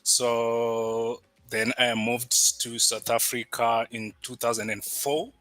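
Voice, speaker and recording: A man from Zimbabwe introduces himself to an online audience in a bright, sharp tone that stays on an even, flat pitch. The sound is dry and close over the video call, and he talks conversationally with small restarts. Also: slow, no echo. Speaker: guest speaker.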